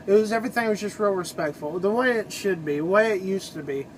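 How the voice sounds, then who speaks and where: A man's voice: sits high, fast talker, a little hiss or hum, low-quality recording. A man giving a casual, rambling vlog monologue in a folksy, opinionated, informal way. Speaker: trucker video blogger.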